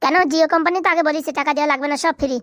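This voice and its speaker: A woman's voice: sits high, steady tone, rapid-fire, fairly bright tone, dry recording, studio quality. A woman voicing a blunt, scolding, suspicious village housewife in a comedy cartoon. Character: sharp-tongued, suspicious, bossy village housewife.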